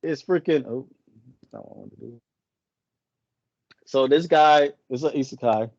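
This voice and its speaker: A man with a mellow, warm voice retells a story in a loose, rambling way, stopping often to correct himself. English is not his first language. The sound is dry and a little muffled. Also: medium pitch, normal speed, no echo. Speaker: co-host. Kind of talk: casual podcast chat.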